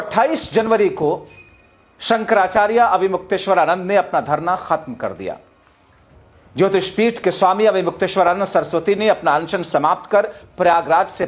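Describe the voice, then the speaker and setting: A man presents political news in a dramatic, emphatic, rhetorical style, addressing his viewers directly. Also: sits high. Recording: music bed behind the voice. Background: background music.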